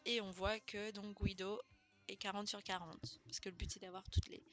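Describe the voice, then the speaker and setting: A woman's voice: mid-range voice, level tone, normal speed. A mother streaming a video game, chatting casually with viewers and hesitating often. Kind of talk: casual gameplay stream chat. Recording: game music playing behind.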